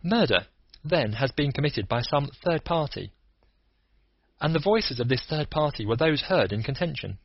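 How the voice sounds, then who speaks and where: A man's voice: medium pitch, expressive, moderate pace, husky, no echo, dull audio. A man reading the lines of a cool, analytical male detective who explains his reasoning point by point. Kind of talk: audiobook character reading.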